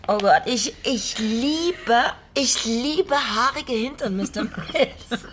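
A woman's voice: a little high, animated, takes it slowly, a little hiss or hum. An actress playing a lonely middle-aged woman in an intimate radio drama, playful yet haunted by her vanished father, with a reflective, teasing way of talking. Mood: tipsy, amorous.

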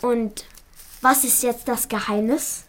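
Cheeky schoolgirl friend for children's radio play: very high voice, confident, brisk, teasing. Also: fairly even intonation. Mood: curious, teasing.

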